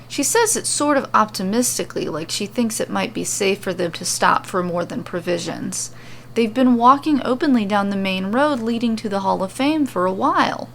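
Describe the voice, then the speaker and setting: A woman's voice: mid-range voice, gravelly, a bit bright, a little hiss or hum. A woman hosting and reading aloud her own podcast-style fantasy fiction. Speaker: host and story reader. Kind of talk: narrating homemade fantasy fiction.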